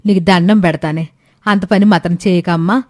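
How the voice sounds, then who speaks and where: A woman voicing an exasperated, sarcastic older mother-in-law in an animated story, scolding with dry mockery. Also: on the low side, moderate pace, close to an everyday voice. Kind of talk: Telugu animated moral story dialogue.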